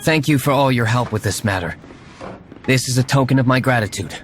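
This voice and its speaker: A man's voice: medium pitch, brisk, over loud music, close to an everyday voice. A man voicing a stern, aloof young tavern owner in a video game, speaking in a curt, dry, formal way. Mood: softened, formal.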